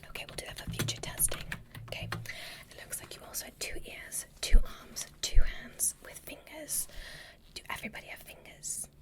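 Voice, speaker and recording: A woman voicing a gentle, curious alien doctor in a breathy, soothing whisper for an ASMR medical roleplay. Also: normal speed, close to an everyday voice. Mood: curious, clinical. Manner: soothing, softly instructing.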